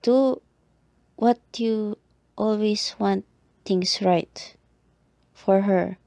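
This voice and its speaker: A woman playing a reluctant, flustered tsundere girl in an ASMR roleplay, speaking haltingly in short clipped phrases. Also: medium pitch, steady tone, creaky, warm tone, no echo, clean studio sound. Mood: insistent.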